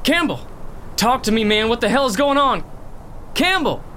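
A man's voice: very high-pitched, big pitch swings, moderate pace, sharp tone, a little hiss or hum, heavily acted. An actor playing a terrified male outlaw who cries out frantically in a horror audio drama. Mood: panicked. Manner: frantic, screaming.

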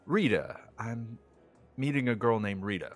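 A man's voice: on the low side, very animated, measured pace. An actor playing an awkward, evasive young man on a blind date in an audio drama comedy, hesitant, stammering and apologetic. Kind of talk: audio drama acting, romantic comedy dialogue.

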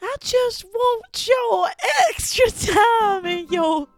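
A woman performing an improv comedy scene, loud, playful and exaggerated. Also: extremely high pitch, slow pace, no echo, clean studio sound.

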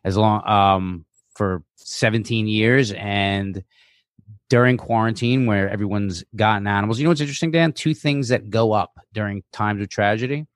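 Low-voiced man chatting on a podcast. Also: moderate pace, no room sound, clean studio sound. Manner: rambling, conversational, repeats phrases. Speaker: guest, comedian and dog trainer. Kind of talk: casual podcast chat.

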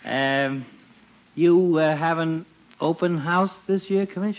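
A man's voice: on the high side, slow, slight background noise. A man playing a folksy, wisecracking, easily hurt barber in a 1940s radio comedy. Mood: hurt, wheedling. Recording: muffled 1940s radio recording.

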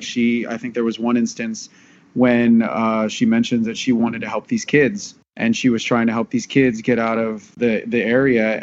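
Male panelist, mid pitch, very even delivery, conversational, slightly dull recording. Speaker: podcast panelist. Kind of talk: casual discussion, sharing opinions. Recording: dull audio with faint background noise.

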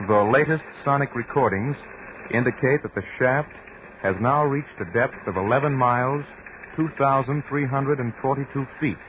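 A man's voice: medium pitch, moderate pace, lightly acted. A man playing a solemn, dramatic on-the-scene reporter in a 1940s radio horror play. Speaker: field news reporter. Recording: muffled, noisy 1940s radio recording.

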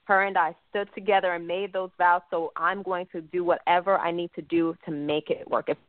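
A woman's voice: fairly low pitch, fairly even intonation, a little fast, no echo. Woman, talk show host, conversational faith-based chat, lots of "you know" fillers, thin phone-line sound, dry and close. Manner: chatty and affirming, heavy on "you know". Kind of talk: faith-based radio interview.

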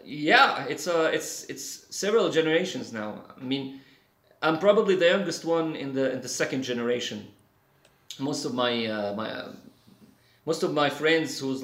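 A man, a guest in a casual interview, talking haltingly with many fillers and restarts. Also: mid-range voice, fairly bright tone, roomy sound. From Syria. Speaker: guest, CG artist.

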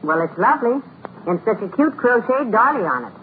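A woman's voice: a little high, big pitch swings, brisk, narrow, tinny audio. A woman playing a genial, courteous housewife in an old radio comedy. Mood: politely admiring. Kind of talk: 1950s radio comedy acting. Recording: noisy, thin vintage radio recording.

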